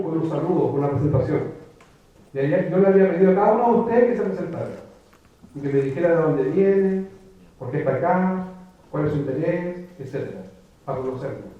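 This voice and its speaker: A man with a high voice gives a reflective talk to an audience, circling back and repeating words as he gathers his thoughts. He sounds far from the mic, and the room echoes heavily through a thin, phone-like recording. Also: brisk. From Chile. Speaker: writer giving a public talk. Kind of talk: conversational lecture. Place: lecture room before an audience.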